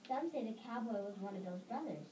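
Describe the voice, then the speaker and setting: Fairly high female storyteller in echoey room. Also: animated, brisk. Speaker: readers theater reader. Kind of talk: scripted storytelling read aloud. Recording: echoey room, distant mic, faint noise.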